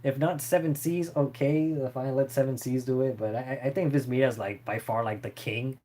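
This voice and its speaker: A man's voice: mid-range voice, steady tone, brisk, warm tone. A man chatting casually and unscripted as a streamer answering viewer questions, in a rambling, hesitant way.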